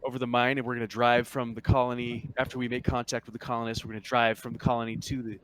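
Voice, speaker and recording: A man's voice: mid-range voice, little pitch movement, fast, fairly bright tone, no room sound. A man casually narrating a tabletop roleplaying game as the game master. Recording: clear background noise, clicks and typing.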